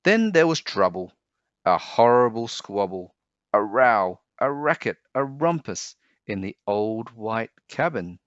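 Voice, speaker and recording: Male storyteller, children's read-aloud, dramatic, slow pace, swooping intonation, slightly muffled recording. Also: lowish voice, very animated, fairly bright tone, no room sound.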